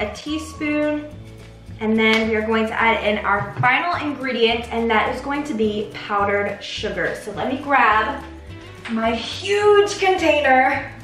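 A woman's voice: on the high side, fairly bright tone. A young North American woman chatting enthusiastically to camera as she hosts a casual cooking tutorial in her home kitchen. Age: young adult. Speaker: baker hosting a recipe video. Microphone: camera mic a few feet away. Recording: roomy, distant camera sound with music.

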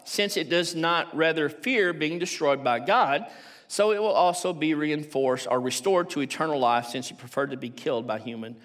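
A man in his sixties with a Texan accent, giving a measured academic lecture and reading quotations aloud from a stage lectern. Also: on the high side, fairly bright tone. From Texas, United States. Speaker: theology professor, conference speaker. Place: large auditorium stage at a lectern.

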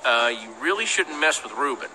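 Bright, lively young underdog hero. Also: male voice, mid-range voice, a little fast, low-quality recording. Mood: firm. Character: plucky young male underdog team leader. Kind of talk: animated video game voice acting.

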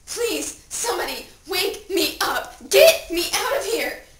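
A woman's voice: high voice, expressive, measured pace. A young woman performing a dramatic monologue as an anguished, pleading seventeen-year-old girl who has died, with theatrical delivery. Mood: frantic, pleading. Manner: theatrical, swinging from hushed telling to desperate cries. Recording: echoey room, distant mic, clear noise.

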